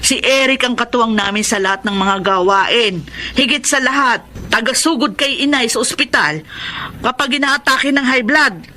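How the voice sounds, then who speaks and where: A woman's voice: a little high, moderate pace, sharp tone. A Filipino woman playing a defensive, hardworking sister in a Tagalog radio drama. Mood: indignant. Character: defensive, hardworking sister, sharp-tongued homemaker.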